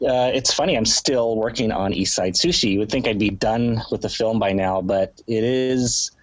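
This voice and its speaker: A man's voice: fairly low pitch, normal speed, slight background noise, rough recording. A man with a nasal, sniffly voice from a cold, explaining his filmmaking in a rambling, conversational way as an interview guest. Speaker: guest, writer-director.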